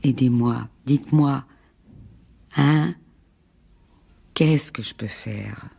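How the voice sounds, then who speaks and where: A haunted French housewife in a radio mystery. She speaks slowly with a creaky edge, halting and repeating herself. She sounds worn out, anxious and pleading. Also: very deep voice, mellow, a little hiss or hum, heavily acted. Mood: fearful, distressed. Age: 40s. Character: frightened, exhausted middle-aged housewife, pleading and haunted. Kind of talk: radio mystery drama acting.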